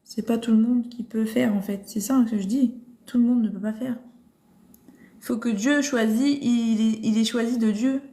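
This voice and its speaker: A woman's voice: on the high side, steady tone, moderate pace, warm tone. A woman hosting a casual live-stream Bible discussion, thinking aloud with many restarts and fillers. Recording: roomy echo.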